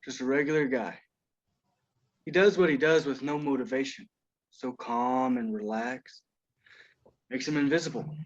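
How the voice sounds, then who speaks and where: A man's voice: medium pitch, normal speed, pauses often, close to an everyday voice. A man playing a cocky, slyly menacing young horror fan in a script read-through over a video call, with dull, muffled sound. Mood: admiring, eerie calm.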